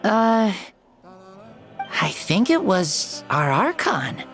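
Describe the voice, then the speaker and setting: Slow-talking, curious girl with a lilting voice. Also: medium pitch, big pitch swings, soft background music. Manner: slow and earnest. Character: innocent, wide-eyed girl. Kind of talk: video game voice acting.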